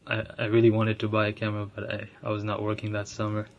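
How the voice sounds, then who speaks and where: A young man from Ethiopia telling his own story, soft-spoken and reflective, with frequent restarts and light laughs. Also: on the low side, monotone, fast talker, lo-fi sound. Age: young adult. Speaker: interviewee sharing his immigrant story. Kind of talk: personal storytelling.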